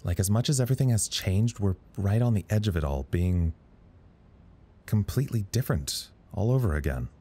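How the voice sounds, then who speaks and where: An actor playing a wryly philosophical staffer at a trapped research site, musing dryly in an audio drama log. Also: male voice, fairly low pitch, animated, moderate pace, dark tone. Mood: pensive. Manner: musing, dry, wry.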